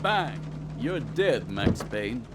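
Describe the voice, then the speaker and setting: A man voicing a sly, smooth-talking gangster in a video game, falsely friendly, florid and teasing. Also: mid-range voice, sing-song, drawn-out words, loud music behind the voice. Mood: playful. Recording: loud vehicle noise behind the voice.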